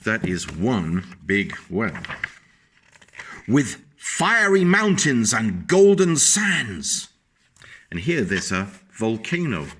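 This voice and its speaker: A man reading a picture book aloud to children, slowly and gently, stopping often to point out the pictures. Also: fairly low pitch, huge pitch swings, a little hiss or hum.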